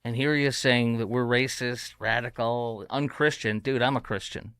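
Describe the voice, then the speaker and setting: A man's voice: medium pitch, fairly even intonation, moderate pace, a bit bright, smooth, no echo. A man hosting an opinionated talk show, combative and sarcastic in tone. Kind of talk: opinionated commentary.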